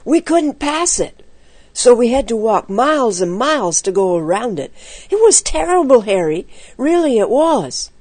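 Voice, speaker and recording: A woman's voice: on the high side, very animated, measured pace, mellow, dry recording. A woman storyteller voicing a timid, spooked young boy who is earnest, pleading and nervous. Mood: scared, insistent.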